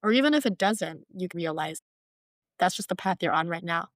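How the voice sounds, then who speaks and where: Earnest young woman, low dry voice. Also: animated, fairly quick, no echo. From Hong Kong. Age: 20s. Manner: reflective, earnest, uses "like" and "you know" often. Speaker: guest. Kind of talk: podcast interview.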